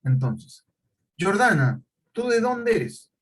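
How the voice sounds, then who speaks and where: A man's voice: high-pitched, very animated, slow pace, husky, low-quality recording. A middle-aged male teacher, lecturing a class and often checking in with questions.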